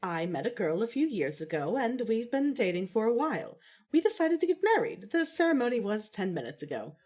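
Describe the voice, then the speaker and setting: A woman's voice: a little high, big pitch swings, brisk, a little mellow. A woman playing a composed, dry-witted young daughter with a formal, slightly wry manner in amateur audio fiction. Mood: earnest.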